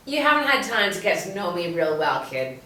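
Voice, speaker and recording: A woman's voice: lowish voice, big pitch swings, fairly quick, bright, forceful tone, a little hiss or hum. An actress reading the part of a wry, seasoned policewoman and tracker in a screenplay table read, dry and teasing. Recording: roomy, echoey, distant mic.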